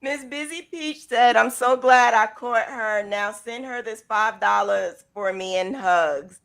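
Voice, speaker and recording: A woman's voice: on the high side, slow, vocal fry, fairly bright tone. A woman hosting a casual video chat with her aunt, reacting with short remarks and frequent laughs. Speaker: host.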